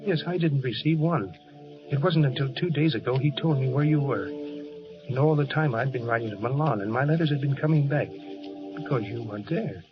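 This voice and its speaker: An actor playing an anxious, devoted soldier husband with urgent, earnest delivery in an old radio drama style. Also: male voice, medium pitch, brisk, lightly acted. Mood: tender, subdued. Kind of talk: 1940s radio drama acting. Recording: muffled vintage radio recording with music.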